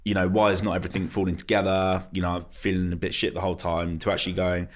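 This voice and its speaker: A male podcast host chatting casually in a relaxed way, laughing easily. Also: sits low, quick pace.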